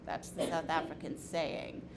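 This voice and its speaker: A woman's voice: sits low, level tone, measured pace. A woman leading an informal lecture and group discussion in an opinionated, emphatic way. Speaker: discussion leader, teacher. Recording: noisy room recording.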